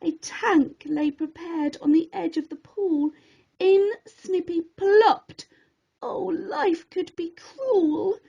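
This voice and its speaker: A woman storyteller narrating a rhyming children's story in an expressive, theatrical and deliberate way. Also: very high voice, creaky, muffled recording.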